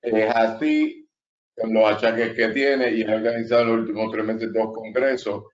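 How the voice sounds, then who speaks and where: Male host, low voice, rambling intro, lo-fi audio. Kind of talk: interview introduction.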